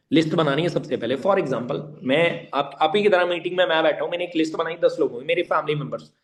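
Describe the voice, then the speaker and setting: A man with a slightly high voice teaches an online meeting informally. He speaks emphatically, repeats key points and puts questions straight to his listeners. English words are mixed into his speech. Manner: emphatic and repetitive, addressing listeners directly with rhetorical questions. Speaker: online network-marketing trainer.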